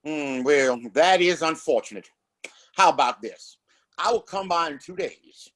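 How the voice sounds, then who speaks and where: Smug, swooping small-town mayor. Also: male voice, fairly high pitch, expressive, measured pace, a bit bright. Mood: falsely sympathetic. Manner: pompous, falsely courteous. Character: smug, oily Old West town mayor. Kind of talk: Western audio play acting.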